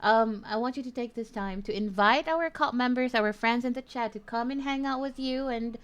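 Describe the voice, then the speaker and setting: A woman hosting a casual radio-style stream interview, chatty and quick to laugh. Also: on the high side, clean tone. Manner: chatty, laughs often, warm check-ins.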